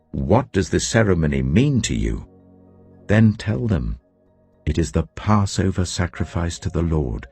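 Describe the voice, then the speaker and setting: A man reading Moses, grave and very deep. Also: darkish tone. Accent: English. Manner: grave, measured instruction. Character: Moses, elderly male leader giving solemn orders.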